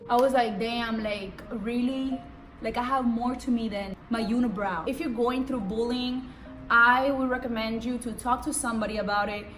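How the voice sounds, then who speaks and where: A young woman born in Cuba and raised in Israel, whose first language is Hebrew, rambling fast and slangy through a casual vlog in a room set up for filming. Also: on the high side, steady tone, a bit bright, soft background music. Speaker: vlogger. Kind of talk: casual get-to-know-me vlog. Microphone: camera mic.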